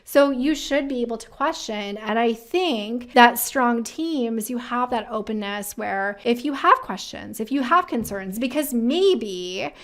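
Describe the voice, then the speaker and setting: A woman with a somewhat high-pitched voice hosts a career advice video. She speaks in a conversational, emphatic way. She often frames her commentary as rhetorical questions. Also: expressive, measured pace, few pauses. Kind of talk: casual commentary reacting to viewer-submitted quotes.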